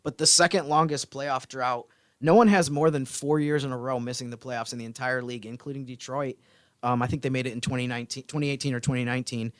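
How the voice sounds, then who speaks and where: Male sports podcast co-host, medium pitch, measured casual analysis, dry room sound. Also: fairly even intonation, dry recording.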